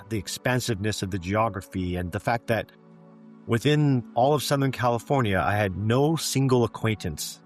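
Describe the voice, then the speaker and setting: A middle-aged Canadian man telling his life story as an interview guest, in a measured, reflective way with frequent "um" and "you know.". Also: on the low side. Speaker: guest, physician executive.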